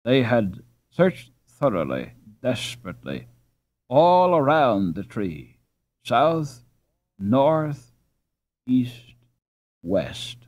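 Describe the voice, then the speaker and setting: A man narrating an audiobook in an expressive, measured storytelling style with dramatic pauses. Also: medium pitch.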